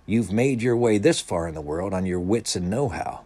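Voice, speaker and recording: A man voicing an earnest boy with plans to pitch, explaining persuasively in a storybook reading. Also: animated, moderate pace, mellow, husky. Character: earnest, scheming boy, eager to persuade his friends.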